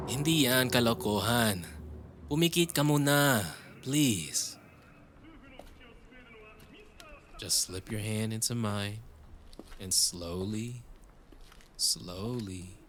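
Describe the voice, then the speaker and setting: A man's voice: lowish voice, fairly bright tone, slight background noise. A man playing a tender, wry young lover in an intimate cyberpunk romance audio drama, teasing and a little hesitant. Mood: gentle, coaxing. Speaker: audio roleplay voice actor.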